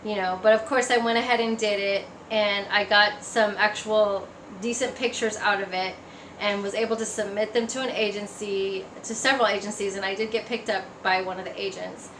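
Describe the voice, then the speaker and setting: A woman's voice: mid-range voice, fairly even intonation, normal speed. A woman giving a personal testimony in a casual, conversational storytelling way. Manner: conversational, acts out remembered remarks. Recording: echoey room, faint background noise.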